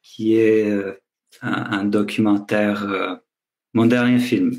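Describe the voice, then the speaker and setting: Slow, halting man on a video call. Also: lowish voice, steady tone, a little mellow. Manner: hesitant, many restarts and fillers. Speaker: filmmaker guest. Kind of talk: casual panel talk.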